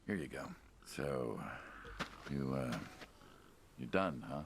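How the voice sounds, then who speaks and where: An actor playing an aging American mob man in a video game, folksy and casual but quietly menacing, probing an old friend. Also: extremely low pitch, lively intonation, slow, background noise, exaggerated voice. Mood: wary, pressing. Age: older. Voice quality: gravelly, husky. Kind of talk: naturalistic video game dialogue.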